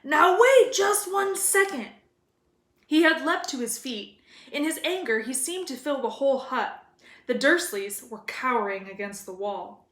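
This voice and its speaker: A woman's voice: fairly high pitch, normal speed, fairly bright tone. A woman narrating a children's story as a read-aloud. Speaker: reader of a children's novel. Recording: roomy echo.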